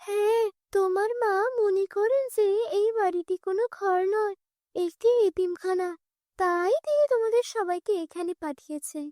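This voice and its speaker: A high-voiced, resentful aunt played by a woman narrator in a cartoon fairy tale. She scolds and orders people about with a sharp tongue, in a close, dry recording. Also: normal speed, no echo. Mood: sarcastic. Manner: scolding, commanding.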